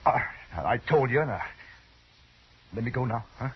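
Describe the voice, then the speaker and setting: A man's voice: medium pitch, expressive, unhurried, slightly rough. A man playing a shifty, cowardly American hired gunman in a 1950s radio western, with a folksy, evasive, faltering way of talking. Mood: frightened, pleading. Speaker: radio drama actor. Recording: muffled, hissy old radio recording.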